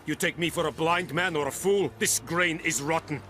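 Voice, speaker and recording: A man's voice: a little high, normal speed, pushed and bright, a little hiss or hum. A man playing a stern imperial military commander in a fantasy game, cold, contemptuous, formal and clipped. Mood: angry, commanding. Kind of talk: video game dramatic acting.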